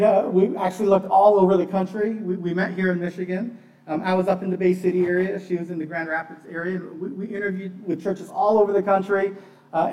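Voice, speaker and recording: Higher-voiced male preacher in an echoey room. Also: recorded from a distance. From born in Bangladesh, childhood partly in Pakistan in a missionary family. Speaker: pastor giving a sermon. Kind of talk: sermon with personal testimony.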